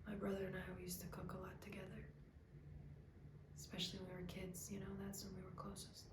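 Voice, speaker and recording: A woman performing a dramatic monologue as a grieving, self-doubting young cook, speaking in a halting, confessional way. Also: mid-range voice, completely flat delivery, close to an everyday voice. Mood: halting, subdued. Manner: halting, hesitant, confessional, with stammered restarts. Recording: echoey room, clear background noise.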